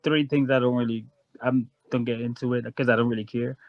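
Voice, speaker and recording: Guyana-raised guy rambling through his story, real flat delivery, dull dry audio. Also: mid-range voice, fairly quick, dry recording. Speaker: guest. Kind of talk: casual chat.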